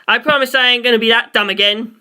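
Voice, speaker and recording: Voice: mid-range voice, steady tone, fast, bright, forceful tone, clear, resonant tone, pitched above the performer's own voice. A reader voicing a hot-tempered, foul-mouthed young male gangster in a story, brash and slangy. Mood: sheepish.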